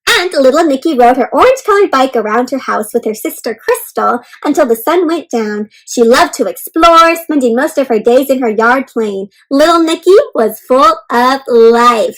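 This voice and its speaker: Woman, very high voice, interactive kids' read-aloud, teacherly, expressive, question-filled delivery. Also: normal speed, few pauses, low-quality recording. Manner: addresses young listeners with questions and commentary. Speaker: children's read-aloud host. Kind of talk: interactive storybook read-aloud for young children.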